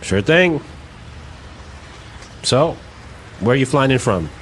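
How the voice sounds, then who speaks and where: A man playing a friendly, nosy American taxi driver of forty in a slow, clear language-lesson dialogue. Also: mid-range voice, expressive, a bit bright, a little hiss or hum. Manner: slow, exaggeratedly clear delivery.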